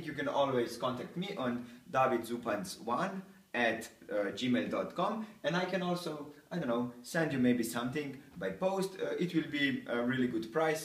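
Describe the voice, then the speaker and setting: A man's voice: medium pitch, distant mic. Brief: young man spoofing a TV chef, jokey and chatty; recorded off-mic in a roomy kitchen. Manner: joking, loose and chatty while cooking. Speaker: host of a homemade cooking show. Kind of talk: playful mock cooking-show talk. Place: small home kitchen, indoors. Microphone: camera a few feet away.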